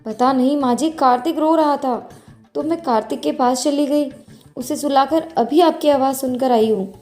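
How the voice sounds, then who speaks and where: A woman's voice: high-pitched, normal speed, a little mellow, pitched above the performer's own voice, natural voice. A woman voicing a meek, distressed young mother and daughter-in-law in a moral storybook tale, speaking deferentially and plaintively. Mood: deferential, anxious. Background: faint music.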